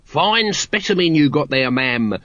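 A man's voice: a little high, animated, unhurried, a bit bright, no echo, dull audio, pitched above the performer's own voice. A man voicing a cheeky, chirpy city watchman who is eager to please, in a comic storybook reading. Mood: cheerful, ingratiating.

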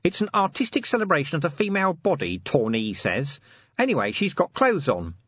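A cheeky, seedy male watch corporal, acted by a male reader, chatters eagerly in a high pitch. His tune leaps up and down, as in a lively audiobook. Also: brisk, breathy edge, no room sound, highs cut off, full character voice. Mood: chatty. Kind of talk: audiobook character acting.

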